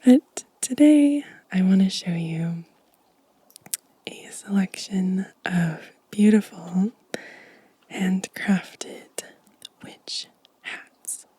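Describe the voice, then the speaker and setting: A woman softly whispering as an ASMR host, gentle and unhurried, with a breathy voice close to the microphone. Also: medium pitch, no echo. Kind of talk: soft-spoken whispered ASMR show and tell.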